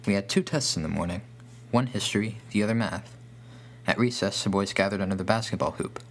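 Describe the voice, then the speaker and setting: A man with a deep, low voice narrates a scripted horror tale as a storyteller. A faint hum sits under the recording. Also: fairly quick.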